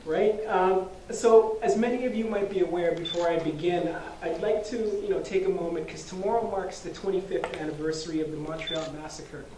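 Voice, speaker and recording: A man's voice: high voice, a little fast, hardly any pauses. A man hosting an event, giving earnest opening remarks with many fillers and restarts in a university lecture hall. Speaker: event host. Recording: echoey hall, distant mic, faint noise.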